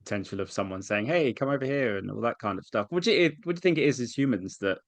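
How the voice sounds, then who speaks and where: A man's voice: mid-range voice, animated, quick pace, no echo. A man interviewing an actress, asking long rambling questions with many restarts. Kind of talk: press junket interview.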